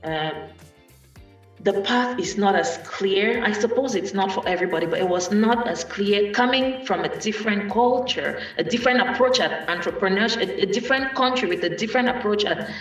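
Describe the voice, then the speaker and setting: A speaker shares a personal journey at an event, thinking aloud with pauses and restarts. The voice is picked up from a distance in a big, very reverberant room. Faint music sits in the background. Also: mid-range voice, fairly bright tone. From Cameroon. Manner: thoughtful, many pauses and restarts. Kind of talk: personal account at a panel event.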